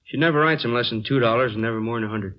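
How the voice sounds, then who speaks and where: An actor playing a matter-of-fact police detective with an American accent in a radio drama from the fifties. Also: male voice, mid-range voice, very fast, natural voice. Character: matter-of-fact male police detective reporting case details. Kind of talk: 1950s radio acting, plain dialogue. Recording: muffled vintage radio recording.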